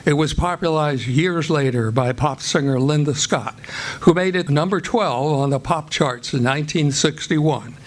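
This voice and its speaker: A man's voice: a little high, normal speed. An American man announcing in a formal, declamatory old-time radio style as host and director of a live theatre performance. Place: live stage performance before an audience.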